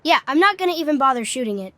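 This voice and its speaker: A woman's voice: sits high, expressive, very fast, bright, forceful tone. A woman playing a skeptical, grumbling boy hero in an amateur cartoon comic dub.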